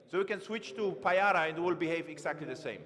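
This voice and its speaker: A man giving a live-coding conference talk from a large stage, halting and correcting himself as he types. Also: fairly high pitch, expressive, a bit bright. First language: not Romanian. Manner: halting, self-correcting, thinks aloud while typing. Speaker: conference speaker. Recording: long reverb of a big hall.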